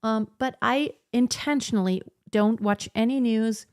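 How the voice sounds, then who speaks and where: A woman's voice: fairly high pitch, fairly even intonation, unhurried, a little mellow. A woman in her thirties, a vocal coach hosting a live Q&A, chatting loosely and rambling with lots of fillers and restarts as she reads out viewer comments. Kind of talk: casual live-stream chat reading viewer comments. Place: home in southern Germany.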